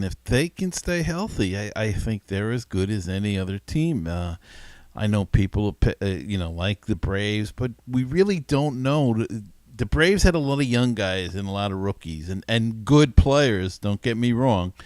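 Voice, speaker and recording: A man's voice: lowish voice, animated, moderate pace, darkish tone, no room sound. A man hosting a podcast, chatting casually about sports. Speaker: podcast host.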